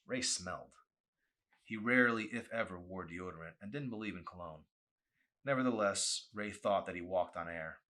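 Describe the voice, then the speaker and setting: Deep-voiced man narrating dramatically, bright edge. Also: moderate pace. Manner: intense, theatrical delivery. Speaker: author reading own novel aloud.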